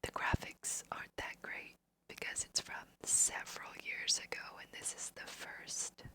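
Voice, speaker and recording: Slow whispering young woman. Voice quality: soft breathy whisper. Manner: gentle, unhurried, hushed guiding. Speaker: host of an ASMR gaming video. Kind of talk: ASMR let's play commentary.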